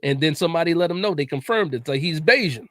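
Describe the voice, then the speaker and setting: A man hosts a casual sports talk livestream in a relaxed, chatty way, often repeating himself and dropping "man" into his sentences. His voice sits a bit high. The sound is dry and close, with little room around it. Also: racing, no room sound. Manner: laid-back, repeats words, says "man" often. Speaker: podcast host.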